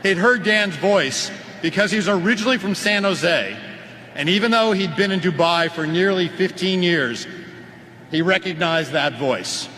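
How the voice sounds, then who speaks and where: A man's voice: high-pitched, normal speed, pushed and bright. A man giving a prepared tribute speech at a ceremony as a team president, over the PA in a large indoor arena. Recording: noisy arena PA, long reverb.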